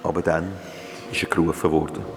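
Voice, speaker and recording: A man's voice: a bass voice, monotone, fairly quick, music in the background. A man with a Swiss German accent playing a kindly, solemn guide spirit in a children's radio play, speaking soothingly and ceremoniously. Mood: solemn, consoling.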